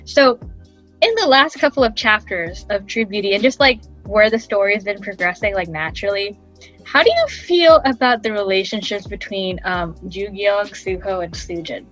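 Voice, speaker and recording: A woman's voice: mid-range voice, lively intonation, moderate pace, fairly bright tone, faint music behind. A young woman co-hosting a podcast in an informal, conversational style, recorded on slightly lo-fi audio. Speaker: podcast co-host. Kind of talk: casual podcast chat.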